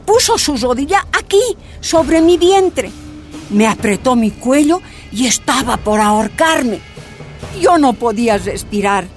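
A woman's voice: a little high, expressive, measured pace, faint music behind. An actress playing a tortured woman activist, recounting her imprisonment in anguished, emphatic first-person narration.

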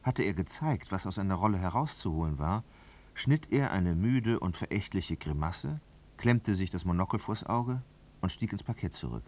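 A man narrating a literary radio drama in a measured, articulate, scripted manner. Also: sits low, expressive, a little mellow.

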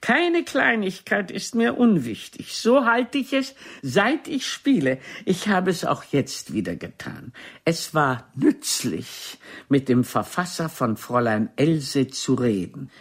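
A woman's voice: low-pitched, very animated, slow pace, breathy edge, exaggerated voice. An older woman playing an imperious, theatrical stage actress in a radio play, haughty, teasing and declamatory. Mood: cool, dignified.

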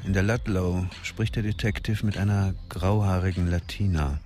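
Very deep-voiced calm male narrator. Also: normal speed. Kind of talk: present-tense radio drama narration.

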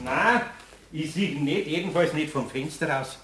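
A man's voice: on the high side, normal speed, a bit bright, slight background noise, lightly acted. An actor playing a good-natured older master craftsman with a Bavarian accent in a children's radio play, hesitant and easily flustered. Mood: anxious, evasive.

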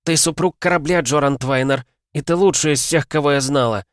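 A man voicing a commanding old female ship captain in an audiobook, shouting urgently and forcefully. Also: fairly high pitch, fairly quick, dry recording, clean studio sound, voice pushed up, close to an everyday voice. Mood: fierce, moved. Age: older. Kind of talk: dramatic audiobook character voice.

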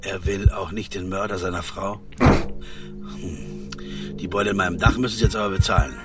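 An actor playing a gruff, sardonic private detective in a German radio drama, speaking curtly and muttering. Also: male voice, deep voice, steady tone, normal speed, loud music behind the voice, close to an everyday voice. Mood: dry, weary. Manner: curt, muttering, cursing. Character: world-weary, gruff male private detective, curt and sardonic.

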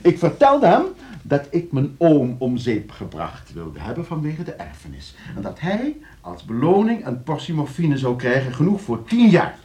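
An actor in a radio play playing a smug, cold-blooded schemer who explains things calmly and tauntingly to friends. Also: male voice, a little high, normal speed. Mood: coolly explaining.

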